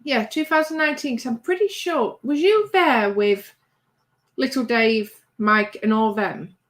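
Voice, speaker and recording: A woman with a slightly higher voice hosts a relaxed evening livestream. She reads chat comments aloud in a teasing, chatty way and often breaks off mid-sentence. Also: a little slow. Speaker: livestream host reading chat. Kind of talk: casual chat.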